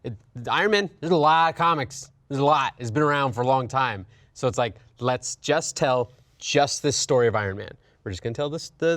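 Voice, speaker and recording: A man's voice: medium pitch, a bit bright, dry recording. A man in his thirties hosting a casual panel chat, explaining things in a rambling, conversational way. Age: 30s. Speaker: host of a video game and film talk show.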